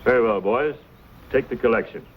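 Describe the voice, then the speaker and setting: An actor playing an earnest, courteous frontier preacher, speaking with measured, pious formality in an old Western drama. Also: male voice, medium pitch, close to an everyday voice. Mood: courteous, grateful. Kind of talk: mid-century Western drama acting. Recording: tinny, clearly noisy old broadcast recording.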